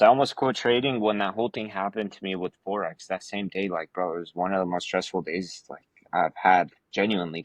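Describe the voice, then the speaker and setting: Really deep voiced guy from afghanistan, casual slangy podcast guest, emphatic, dry sound. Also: no room sound, low-quality recording. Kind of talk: casual conversational storytelling.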